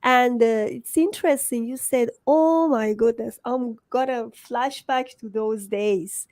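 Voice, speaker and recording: Female interview host, native Farsi speaker, Persian accent, high-set voice, unhurried delivery. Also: dry recording. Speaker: host, book coach. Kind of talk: conversational interview.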